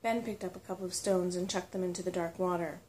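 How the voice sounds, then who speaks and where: A woman narrating a storybook in ASMR style, soft, hushed and soothing. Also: on the low side, fairly even intonation, quick pace, slight background noise.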